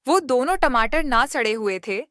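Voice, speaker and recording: A woman's voice: sits high, animated, normal speed, a bit bright, dry recording, studio quality. A woman playing a grasping, self-important neighbor with a mannered delivery in a children's moral story. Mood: wheedling. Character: pushy, grasping female neighbor who turns haughty.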